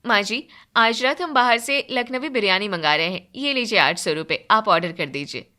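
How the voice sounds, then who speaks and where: A woman's voice: medium pitch, animated, normal speed, bright, forceful tone. A woman voicing an indignant, entitled young daughter-in-law in a story, complaining and demanding. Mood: defiant.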